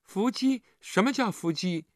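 一名男子在有声故事中扮演一个倔强、胆怯又委屈的丧亲小男孩，说话吞吞吐吐。. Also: male voice, sits high, animated, dry recording, clean studio sound, voice pushed up. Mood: sulky, defiant. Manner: halting, stammering, plaintive. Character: stubborn, grieving orphan little boy, sulky and timid.

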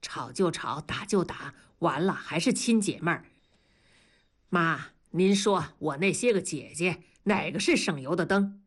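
A woman's voice: fairly low pitch, unhurried, husky. A woman voicing a middle-aged husband and father who coaxes his daughter and argues with his wife and mother. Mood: placating.

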